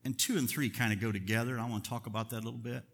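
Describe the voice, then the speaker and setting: A middle-aged man, a pastor, preaching a sermon in an earnest, measured way, slowing down to stress key words. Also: medium pitch, steady tone, fairly bright tone, slightly rough, soft background music. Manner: earnest, measured preaching with deliberate slow emphasis. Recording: long reverb of a large hall.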